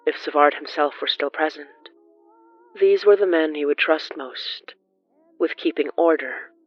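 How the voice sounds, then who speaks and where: Medium-pitched narrator, formal horror storytelling, measured delivery, dry close sound, faint music underneath. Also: no echo, muffled recording. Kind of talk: scripted horror fiction narration.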